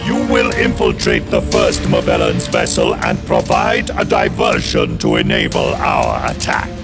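An actor voicing a cold, commanding alien war machine with a harsh grating voice in an audio drama. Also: male voice, sits high, sing-song, measured pace, creaky, exaggerated voice. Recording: trailer mix under loud music.

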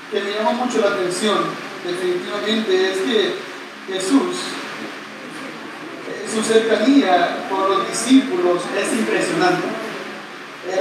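A man, a priest, giving a playful sermon to a large congregation, joking and leading them in call-and-response, recorded outdoors under a big covered pavilion from a camera far back in the crowd. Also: sits high. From not Puerto Rican. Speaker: priest preaching. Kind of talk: sermon at a retreat Mass. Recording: echoey, distant, noisy outdoor pavilion.

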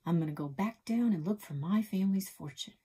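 A woman's voice: medium pitch, a little fast, dark tone, husky, natural voice. Woman doing a cocky boy treasure hunter.

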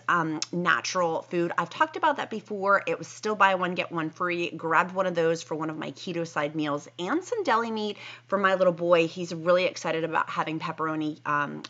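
A woman in her thirties talks through her grocery haul in a bubbly, gushing way. Her voice sits a little low and has a breathy, husky edge. It sounds like she is filming on a handheld phone. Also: pushed and bright, dull audio. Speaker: vlogger. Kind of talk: grocery haul vlog narration. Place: grocery store aisles, then home.

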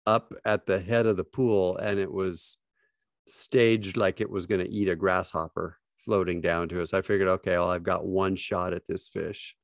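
A middle-aged man telling fishing stories in a slow, reflective way with frequent pauses, speaking from a home office over a video call with earbuds. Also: sits low, level tone, vocal fry, no room sound, clean studio sound. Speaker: podcast guest, fly fishing writer. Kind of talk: casual storytelling. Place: home office with bookshelves, indoors. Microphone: wired earbuds, video call.

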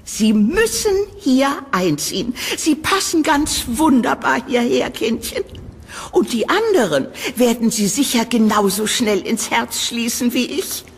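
Eccentric old landlady, swooping and high. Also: very animated. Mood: gushing, delighted. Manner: theatrical, halting, doting, with nervous chuckles. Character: eccentric old landlady, sweetly eerie and gushing. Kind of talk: audio drama acting. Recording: reverberant room, background noise.

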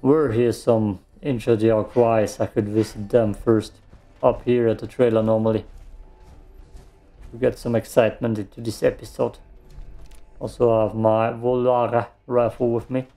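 A man's voice: mid-range voice, little pitch movement, measured pace, mellow, soft background music. A man giving casual gameplay commentary, muttering to himself and given to swearing. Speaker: let's play streamer.

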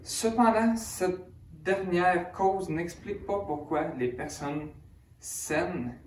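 A man's voice: high-pitched, slow pace, recorded from a distance, a little hiss or hum. Young man presenting in an echoey room. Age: young adult. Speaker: student presenter. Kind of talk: science fair presentation. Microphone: far camera.

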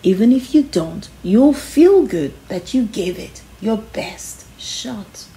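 Slow-paced woman reading to children, lively pitch. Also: low-quality recording. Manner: instructive, emphatic on key phrases. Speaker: children's book reader. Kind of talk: read-aloud of a children's self-help book.

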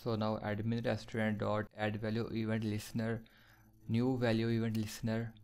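Man, coding tutor voice-over: gravelly, flat delivery, slow step-by-step dictation of code. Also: lowish voice. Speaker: programming tutor.

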